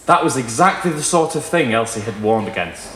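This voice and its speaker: Expressive young man reading in echoey room. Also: mid-range voice, a little fast, slight background noise. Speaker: reader at a live short story event. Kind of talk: expressive literary story reading. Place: small indoor performance room with wood panelling.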